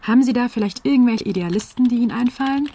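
A woman's voice: a little high, fast, mellow. An actress playing an earnest, eager female tax office worker who narrates and makes calls in a radio drama.

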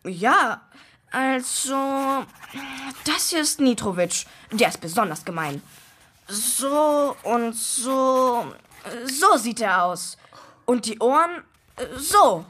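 A woman's voice: high voice, big pitch swings, takes it slowly, fairly bright tone, slight background noise, exaggerated voice. A woman playing a cheeky, cocky young boy in a German children's radio play, brash and flippant. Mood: eager, showy.

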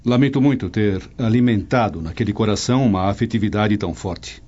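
A man's voice: lowish voice, brisk, darkish tone, slightly rough. An actor playing a brooding, apprehensive Roman nobleman in a radio drama, with formal, earnest, theatrical diction. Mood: earnest, regretful. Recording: dull audio over music bed.

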